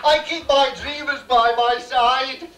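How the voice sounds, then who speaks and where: A man playing an old has-been comic, reciting rhyming gags slowly on a small stage. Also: very high voice, fairly even intonation. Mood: chirpy, rueful. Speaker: amateur pantomime performer. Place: small theatre bar stage, indoors. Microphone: far camera. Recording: distant echoey noisy bar with audience.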